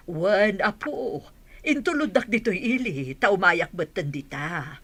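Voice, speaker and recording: A woman's voice: mid-range voice, animated, a little slow, a bit bright. A woman playing an elderly village grandmother on the phone in an Ilocano radio drama. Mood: plain, affectionate. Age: older.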